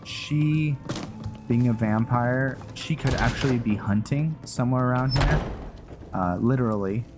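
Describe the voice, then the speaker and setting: A man hosting a roleplay game playthrough, giving casual, low-key commentary as he thinks aloud. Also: measured pace. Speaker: gaming video host. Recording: loud game music behind the voice. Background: video game music.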